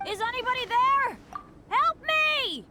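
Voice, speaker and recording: A woman's voice: extremely high pitch, expressive, slow pace, heavily acted. A woman voicing an earnest, apologetic, good-natured but unlucky teenage boy adventurer in a video game, in a youthful boyish voice. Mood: calling for help. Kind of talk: video game character dialogue. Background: music.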